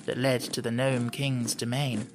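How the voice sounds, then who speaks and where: A man's voice: mid-range voice, little pitch movement, unhurried, music in the background. A man narrating a children's story as a scripted audiobook reading.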